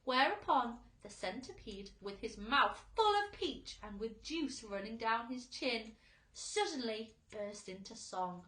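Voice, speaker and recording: A woman reads a storybook to young children like a teacher. Her voice is high and very animated, taken at an unhurried pace, with audible room echo. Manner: expressive, addressing young children. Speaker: infant school teacher reading aloud.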